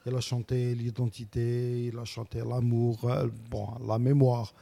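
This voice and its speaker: A man's voice: mid-range voice, level tone, a little slow, gravelly, dark tone. A man talking on a radio show about music, thoughtful and hesitant, with many fillers and repeated words. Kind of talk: radio talk about a singer.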